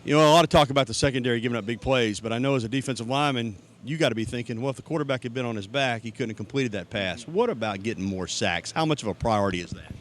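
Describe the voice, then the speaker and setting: A man's voice: medium pitch, rapid-fire, few pauses. An American man working as a sports reporter, conducting a broadcast interview outdoors. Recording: outdoor field recording, faint ambient noise.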